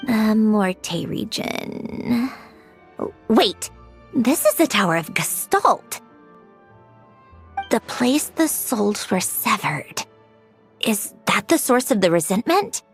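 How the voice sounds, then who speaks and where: High-voiced, earnest young planner. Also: female voice, sing-song, slow, gravelly, long pauses, faint music behind, full character voice. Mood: focused. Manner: polite, earnest, hesitant at times. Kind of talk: video game voice acting.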